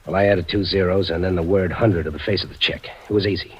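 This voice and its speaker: An actor plays a desperate husband on the run in a vintage radio thriller. His deep voice is terse and fatalistic. The old recording is dull, with faint noise. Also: fairly even intonation, quick pace, natural voice. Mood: cornered, confessing. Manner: terse, slangy, bitterly fatalistic. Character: desperate, guilty-looking husband on the run, hard-boiled. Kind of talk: old-time radio crime drama acting.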